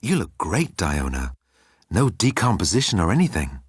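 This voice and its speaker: A breezy galactic president as a man performs him chats in a low voice. He is flippant, self-absorbed and carefree, a comic science fiction storybook character. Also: very animated, moderate pace, breathy edge, no room sound, clean studio sound, exaggerated voice. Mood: pleased. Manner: breezy, chatty.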